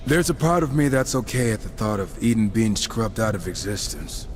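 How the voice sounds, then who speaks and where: A man's voice: lowish voice, lively intonation, moderate pace, noisy background, close to an everyday voice. A man playing a cynical, wisecracking horseman and reformed killer in a video game, talking in a sardonic, informal way. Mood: wry. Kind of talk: game cutscene dialogue. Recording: music behind the voice.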